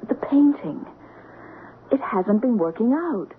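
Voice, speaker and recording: An actress with an American accent playing a dreamy, strong-willed wife, intense and halting in a 1970s radio drama. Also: female voice, a little high, very animated, breathy edge, heavily acted. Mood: entranced, determined. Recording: tinny, noisy 1970s radio broadcast recording.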